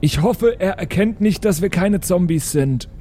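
High-voiced man playing tabletop. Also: lively intonation, a little slow, dark tone, a little hiss or hum. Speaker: player in a tabletop role-playing game. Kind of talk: casual in-character planning talk.

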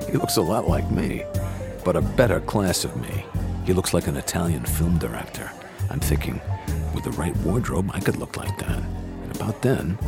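A man's voice: rumbling low, creaky, heavily acted. An actor playing a world-weary barfly who tells his tale in hardboiled radio drama style, laconic and musing. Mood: wry. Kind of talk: radio drama narration, hardboiled first person. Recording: loud music bed behind the voice.

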